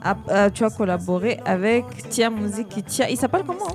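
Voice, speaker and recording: A woman's voice: medium pitch, big pitch swings, brisk, music in the background. A woman co-hosting a radio studio interview, asking questions and mixing French with Swahili. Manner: brief acknowledgments, mixes French and Swahili. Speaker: radio co-host.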